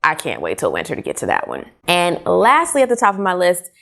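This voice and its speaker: A woman with a slightly low voice hosts a casual book vlog. She talks in an expressive, conversational manner, putting emphasis on her opinions as she reviews. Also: a little fast, creaky. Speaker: book vlogger, host. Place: small furnished living room, indoors.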